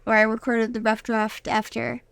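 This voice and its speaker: Young girl guest, kinda high voice, halting casual chat, dry studio sound. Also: completely flat delivery, gravelly, fairly bright tone, clear, resonant tone, dry recording. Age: child or young teen. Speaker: interview guest and young reader.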